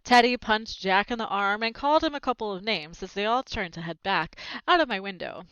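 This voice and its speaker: Woman, expressive storybook read-aloud, lively pitch, casual asides, slightly dull lo-fi sound. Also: brisk, smooth, no room sound. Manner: animated, explains the story in plain asides. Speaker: read-aloud narrator with commentary.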